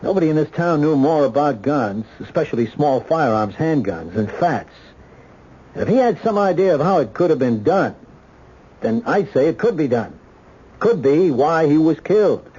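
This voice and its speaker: An American actor in a radio mystery playing a measured, skeptical police lieutenant who talks in a hesitant, conversational way. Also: male voice, a little high, animated, dark tone, breathy edge. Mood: reasoning. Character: measured, skeptical male police ballistics lieutenant, helpful underneath. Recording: dull, noisy old radio broadcast.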